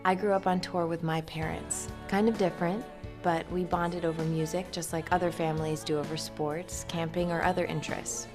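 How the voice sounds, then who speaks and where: A woman's voice: on the low side, fairly even intonation, normal speed. A young woman narrating a public service ad, telling about family talks in a heartfelt, reflective way. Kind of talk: scripted ad narration. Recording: ad with music underneath.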